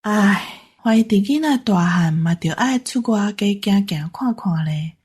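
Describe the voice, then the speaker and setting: A woman's voice: medium pitch, animated, unhurried, a little mellow, breathy edge. A woman voicing a practical, talkative old village grandma with a Taiwanese accent in an audio drama. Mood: resigned. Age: older.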